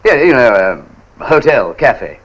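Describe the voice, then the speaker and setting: A man's voice: mid-range voice, sing-song, a little slow, fairly bright tone, muffled recording. An actor playing a chummy British army officer in a 1950s war film, stumbling a little as he explains.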